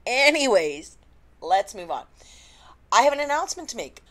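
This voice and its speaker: A woman, an indie romance author, chatting unscripted to camera with a slightly husky voice and lots of ums. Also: fairly high pitch, sing-song, normal speed, fairly bright tone. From Canada. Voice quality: slightly husky after illness. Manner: chatty, rambling, frequent ums. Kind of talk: unscripted vlog chat.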